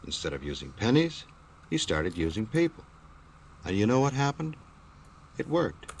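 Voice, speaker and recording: An actor playing a wry, folksy American storyteller in an old radio detective drama, using playful slang. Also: male voice, medium pitch, very animated, moderate pace, vocal fry. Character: wisecracking folksy male storyteller of detective tales. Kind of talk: 1940s radio acting. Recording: old radio recording with background hiss.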